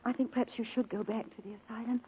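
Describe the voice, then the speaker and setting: A woman's voice: a little high, steady tone, very fast, husky, narrow, tinny audio. An actress playing a devoted, spirited young woman in a 1940s radio drama, speaking in an earnest, pleading and effusive way. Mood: anguished, pleading. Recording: old radio broadcast with music behind.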